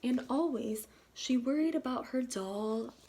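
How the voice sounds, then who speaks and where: A woman's voice: on the high side, mellow. A woman teacher reading a children's storybook aloud expressively, in short phrases for young listeners. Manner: pauses to ask listeners questions. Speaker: kindergarten teacher.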